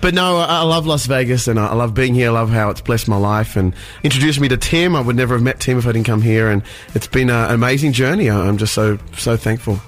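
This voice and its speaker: A man's voice: medium pitch, fast, few pauses. A middle-aged Australian man, a singer guesting on a radio interview, speaking earnestly and often repeating words as he searches for them.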